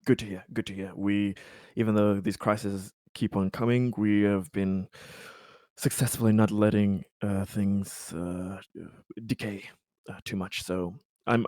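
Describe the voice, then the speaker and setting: A man playing in a tabletop role-playing game, speaking in a halting, deliberate way as he searches for words. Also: fairly low pitch, a little mellow, no room sound, clean studio sound. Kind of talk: role-play and game discussion.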